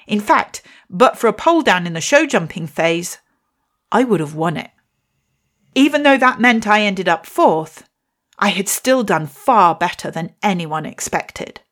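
A woman's voice: mid-range voice, lively intonation, measured pace, fairly bright tone. A woman, telling a reflective personal story and reading her poem with wry, self-deprecating humour. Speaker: podcast host and poet.

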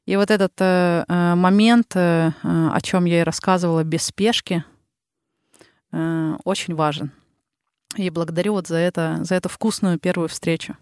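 Woman, 30s, Ural Russian accent; reflective podcast guest, lots of hesitations, smooth resonant voice, dry close sound. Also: lowish voice, steady tone, moderate pace, darkish tone, no room sound. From Russia, the Urals. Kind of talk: casual interview talk.

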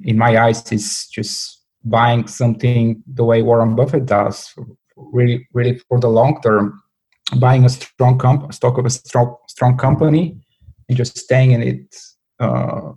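Male trader, interview guest, flat delivery, warm tone, halting. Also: medium pitch, husky, rough recording. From Bulgaria. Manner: hesitant, many fillers and restarts. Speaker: guest, portfolio manager. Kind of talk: interview answers.

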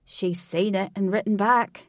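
A woman's voice: mid-range voice, big pitch swings, normal speed, darkish tone, dry recording, clean studio sound, recorded from a distance. A woman voicing an eager, naive, lovestruck young man who is easily bewildered, in a story. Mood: gleeful.

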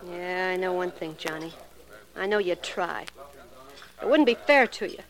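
An American actress playing an unhappy, lovesick young magician's assistant, bitter and resigned, in a 1940s radio melodrama. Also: female voice, lowish voice, lively intonation, a little slow, rough recording. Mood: resigned longing.

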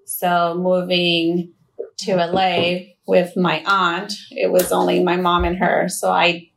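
A woman from Mexico who works in insurance, answering interview questions in an unhurried, plain way. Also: on the low side, completely flat delivery, vocal fry, a little hiss or hum, rough recording. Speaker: insurance staff member.

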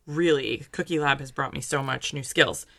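Bright, chatty woman podcast co-host. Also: very deep voice, normal speed, creaky, dry recording, studio quality. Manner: chatty storyteller, laughs easily. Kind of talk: casual chat about baking.

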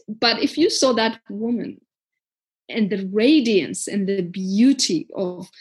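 Husky-voiced woman from Africa. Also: mid-range voice. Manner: earnest, animated, many fillers and restarts. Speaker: community garden founder. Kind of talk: interview storytelling.

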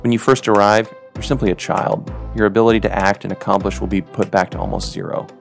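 Man, podcast host; earnest, measured storyteller with a low voice over soft music. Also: gravelly. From United States. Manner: earnest, reflective, measured delivery. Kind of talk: scripted storytelling narration.

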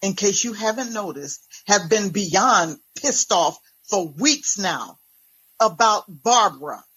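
A woman's voice: medium pitch, lively intonation, slow, fairly bright tone, a little hiss or hum, low-quality recording. A woman voicing a fed-up pastor's wife in an emphatic, exasperated manner in a story reading. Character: fed-up, furious pastor's wife confronting her husband.

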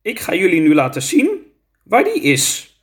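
Storybook creature teacher, male reader: high voice, patient, instructive, matter-of-fact. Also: wildly swooping pitch, normal speed.

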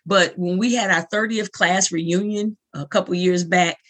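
A woman's voice: lowish voice, steady tone, normal speed, a bit bright. A woman in her late forties from the United States, hosting a live podcast interview, effusive and chatty with frequent laughs. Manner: effusive, laughs often, rambling restarts. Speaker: host.

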